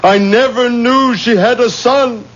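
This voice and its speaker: A guilt-ridden father on trial in an old radio play. His voice sits high for a man, earnest and humbly pleading, with muffled broadcast sound. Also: steady tone, measured pace, a bit bright. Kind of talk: 1970s radio drama acting.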